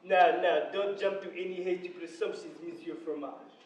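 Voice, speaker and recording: A man's voice: very high-pitched, a little fast. A man playing a grandiose, amorous French count in a stage farce. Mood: suave. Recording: very echoey hall, distant microphone.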